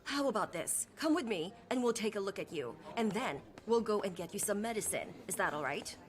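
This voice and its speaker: Woman acting busy female medic, exasperated, animated intonation, mid pitch, dubbed hospital drama. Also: brisk, crowd noise, close to an everyday voice. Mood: placating.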